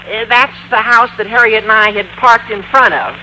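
An actor playing an excitable, scheming high school boy with a squawky adolescent voice in an old-time radio comedy. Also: moderate pace. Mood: sheepish, eager. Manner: gushing, stammering, eager. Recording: faintly noisy vintage radio recording.

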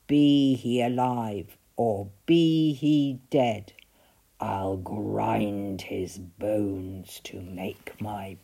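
A woman voicing a huge man-eating male giant, loud, slow and menacing. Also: extremely low pitch, vocal fry, voice pushed down, heavily acted.

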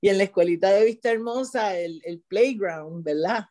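A woman's voice: mid-range voice, moderate pace, a little mellow. Puerto Rican woman, chatty interview guest. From Puerto Rico. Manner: restarts often, frequent "¿verdad?" tag. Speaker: guest, teacher and author. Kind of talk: conversational interview.

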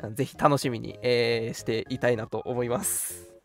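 A man's voice: mid-range voice, fairly even intonation, moderate pace, creaky. A young man co-hosting a live radio talk show, mixing casual, jokey banter with polite announcements. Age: young adult. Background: background music.

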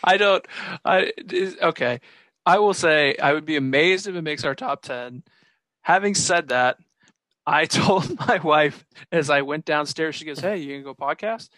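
A man's voice: a little high, normal speed, pushed and bright, dry recording. A man hosting a casual podcast chat, teasing and joking with his co-hosts. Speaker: podcast host.

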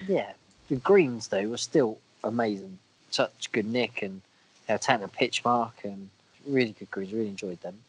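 A man with a croaky voice from a cold, co-hosting a podcast in casual, self-deprecating chat. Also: lowish voice, moderate pace, low-quality recording. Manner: chatty, self-deprecating, a bit crude. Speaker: podcast co-host.

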